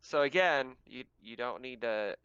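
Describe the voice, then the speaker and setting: Male game master, casual narration, smooth clear voice, dry and close but slightly dull recording. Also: mid-range voice, a little slow, a bit bright, no echo.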